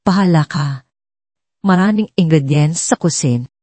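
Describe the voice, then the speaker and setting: A woman's voice: deep voice, lively intonation, a little slow, warm tone, breathy edge, no echo, exaggerated voice. A Filipino woman voicing a commanding, brusque male company boss in a story drama. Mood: curt. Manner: brusque, bossy, mixes English into orders.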